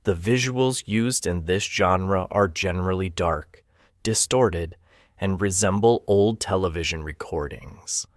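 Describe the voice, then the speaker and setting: A man narrating a documentary from a script, with a measured, ominous delivery. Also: low-pitched, no room sound, studio quality.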